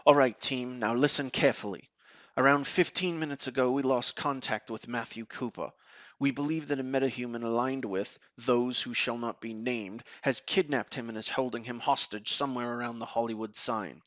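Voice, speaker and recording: A man playing a commanding, fatherly leader of a superhuman team in an amateur audio drama, speaking in a measured, explanatory way. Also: mid-range voice, fairly even intonation, fairly bright tone, no room sound, natural voice. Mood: urgent, commanding.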